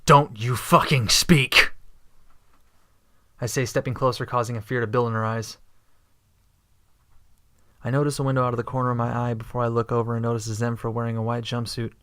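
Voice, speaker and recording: A man reading scripted first-person sci-fi narration in a steady, understated way. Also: medium pitch, fast talker, clean tone. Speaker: narrator and protagonist.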